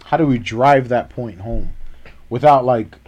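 A man co-hosting a podcast in casual chat, relaxed and full of slang. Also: mid-range voice, measured pace. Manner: slangy, relaxed, says "bro" often. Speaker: podcast co-host.

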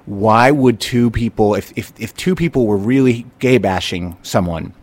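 Lively, chatty male podcast host. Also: on the low side, normal speed. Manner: animated, rambling storyteller with frequent restarts. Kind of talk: casual conversational chat.

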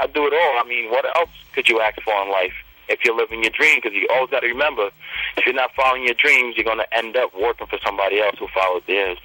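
A man's voice: medium pitch, bright, forceful tone, no room sound, poor audio. A young man from Trinidad, a rapper, talking confidently and promoting himself in a casual interview. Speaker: rapper guest.

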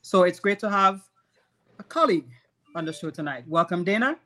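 Woman podcast host, very bright and forceful tone, upbeat and teasing. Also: fairly low pitch, expressive, fairly quick.

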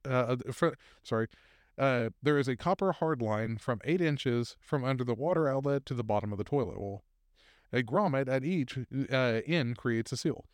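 A man co-hosting a podcast, reading stories aloud in a loose, casual way with fillers and laughter. His voice sits at a middle pitch. The sound is dry and close to the mic. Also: expressive, moderate pace, no echo.

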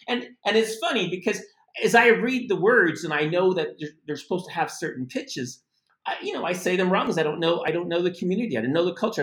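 A middle-aged man from the United States giving a casual spoken language lesson, animated and chatty with asides. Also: high-pitched, reverberant. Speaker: language teacher.

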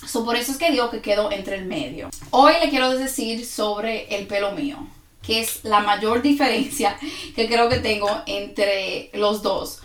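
A woman's voice: medium pitch, measured pace, a bit bright, roomy sound, slight background noise. A woman with a Dominican accent, hosting her own podcast, talks in a casual personal monologue and laughs often out of nerves. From born in New York, raised in the Dominican Republic. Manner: nervous, laughs often while talking. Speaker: podcast host.

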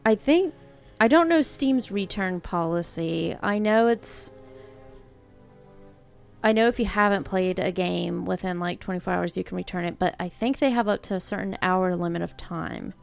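A woman in her thirties co-hosting a casual podcast, relaxed and opinionated. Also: on the low side, normal speed, creaky, soft background music. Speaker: podcast co-host. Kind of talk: casual chat.

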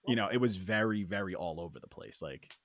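A young man from Long Island co-hosting a casual chat, telling animated stories full of "like" and quick laughs. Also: deep voice, dry recording, clean studio sound. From Long Island, New York. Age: young adult. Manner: animated storyteller, laughs easily, peppered with "like". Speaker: podcast co-host.

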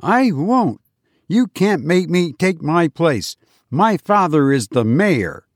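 A man's voice: a little high, sing-song, takes it slowly, no room sound, clean studio sound, voice pushed up. A man doing a defiant spoiled boy. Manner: stubborn, boastful. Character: defiant spoiled young boy, mayor's son.

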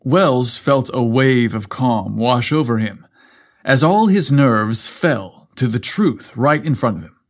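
A man's voice: medium pitch, expressive, slow pace. A man narrating a scripted story as a podcast host, with a playful, cheeky manner.

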